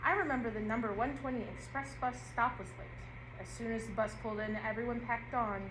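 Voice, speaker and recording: A woman actor reading an audio play script at rehearsal in a measured storytelling way. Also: on the high side, steady tone, close to an everyday voice. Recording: roomy and noisy rehearsal room.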